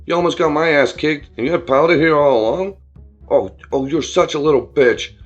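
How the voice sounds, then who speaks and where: A man doing a loud, crude frat-bro bully. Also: a little high, fairly quick, lightly acted. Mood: taunting. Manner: loud, swaggering, foul-mouthed taunting. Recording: dull lo-fi with faint music.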